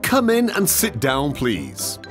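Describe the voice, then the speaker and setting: A man playing a polite, orderly schoolteacher in an educational children's cartoon, speaking in clear instructional phrases. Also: medium pitch, wildly swooping pitch, faint music behind. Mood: instructive, polite. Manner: slow, clearly enunciated instructional delivery. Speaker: teacher.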